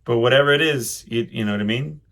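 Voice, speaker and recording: A middle-aged man hosting a solo podcast, reflecting casually and haltingly as he thinks aloud in a loud voice. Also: mid-range voice. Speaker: podcast host.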